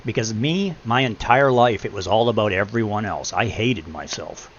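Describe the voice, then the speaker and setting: Gravelly deep-voiced middle-aged man. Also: animated, normal speed. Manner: blunt, preachy, swears freely. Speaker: podcast host. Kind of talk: casual advice chat. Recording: muffled lo-fi with faint hum.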